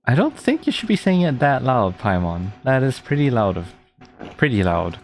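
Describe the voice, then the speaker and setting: A man's voice: mid-range voice, big pitch swings, moderate pace, soft background music. A man giving casual, chatty live commentary as he reacts to a story-driven video game. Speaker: streamer playing a story game.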